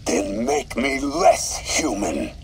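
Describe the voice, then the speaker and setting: An actor playing a menacing, calculating crime boss with a gravelly voice in a video game. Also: male voice, very high-pitched, wildly swooping pitch, slow pace, a little hiss or hum, heavily acted. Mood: angry, demanding.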